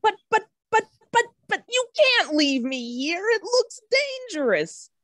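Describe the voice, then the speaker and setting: Cartoon fantasy shrub, female performer: timid and childlike, very lively pitch, unhurried, close dry sound. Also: no room sound, heavily acted. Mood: frightened pleading. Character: timid, anxious talking shrub, small and hesitant. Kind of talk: animated fantasy table read acting.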